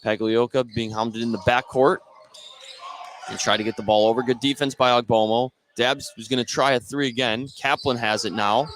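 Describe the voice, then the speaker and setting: A man's voice: mid-range voice, fairly bright tone. American man calling basketball over gym noise. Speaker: play-by-play announcer. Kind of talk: live basketball radio commentary. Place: indoor high school basketball gym. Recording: live gym noise behind the voice. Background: gym ambience, bouncing basketball.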